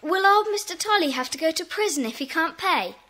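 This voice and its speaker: Very high, eager child. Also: female voice, lively intonation, a little fast, sharp tone, exaggerated voice. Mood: curious. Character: eager, indignant, kind schoolchild. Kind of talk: children's audio drama acting.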